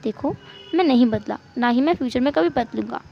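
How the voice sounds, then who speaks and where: A woman voicing a patient, exasperated and loving husband and father in a told story, softly placating. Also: sits high, expressive, fairly quick, creaky, dull audio, slight background noise, heavily acted. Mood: gentle, pleading. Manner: soft, placating reassurance. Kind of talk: amateur storytelling.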